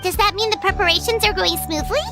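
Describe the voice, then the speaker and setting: A woman voicing a small, excitable childlike fairy companion with a squeaky voice in a video game. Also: quick pace. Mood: excited. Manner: speaks of self in third person. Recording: game music behind the voice.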